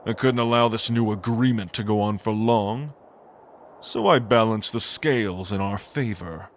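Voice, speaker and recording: A man's voice: lowish voice, normal speed, slight background noise, natural voice. A man playing an ancient, arrogant angel lord, a gloating villain who speaks with grandiose contempt in a fantasy audio drama. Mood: contemptuous, boastful.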